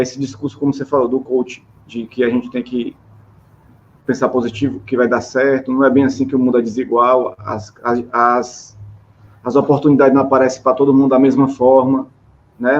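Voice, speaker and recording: A middle-aged man with a Brazilian accent, hosting a casual rambling chat in a halting way with repeated words. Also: a little high. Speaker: interview host. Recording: dull, lo-fi, clear background noise.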